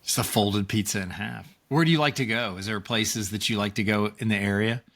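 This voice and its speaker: A man hosting a podcast, asking questions in a brisk, conversational way. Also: fairly low pitch, a bit bright. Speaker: podcast host. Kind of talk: interview questions and chat.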